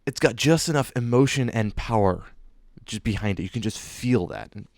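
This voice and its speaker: A man's voice: on the low side, animated, normal speed, a little mellow, no echo, studio quality. A man co-hosting a podcast, presenting his picks in easygoing, approving casual chat and often repeating himself. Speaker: podcast co-host presenting fan music.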